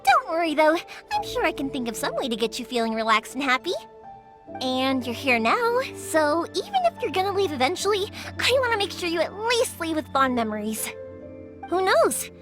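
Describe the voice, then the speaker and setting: An actress playing a cheerful young woman who makes fireworks, bubbly and quick to laugh. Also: sits high, wildly swooping pitch, over music. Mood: encouraging.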